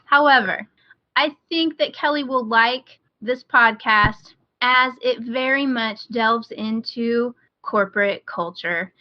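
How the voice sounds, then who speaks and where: A woman's voice: on the high side, slow pace, no echo. A woman podcast host chatting casually and giving recommendations on a dull, lo-fi internet call. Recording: dull, lo-fi online call audio.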